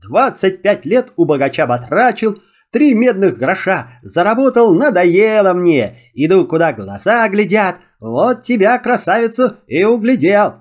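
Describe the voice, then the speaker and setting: Man playing a lively high-voiced Cossack hero. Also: a little slow. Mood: hearty, flirtatious. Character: bold, cheerful, free-spirited Cossack hero, plain-spoken.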